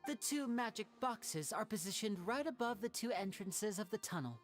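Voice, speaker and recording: A woman's voice: mid-range voice, lively intonation, moderate pace, lightly acted. A woman voicing a suave, playful and confident young male stage magician in a video game. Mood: confident, explaining. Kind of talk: video game dialogue acting. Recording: faint music behind the voice.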